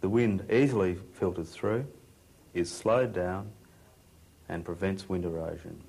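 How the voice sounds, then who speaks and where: A man's voice: deep voice, a little slow, creaky, darkish tone, breathy edge. A man presenting an instructional demonstration, explaining things in a plain-spoken way. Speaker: expert presenter.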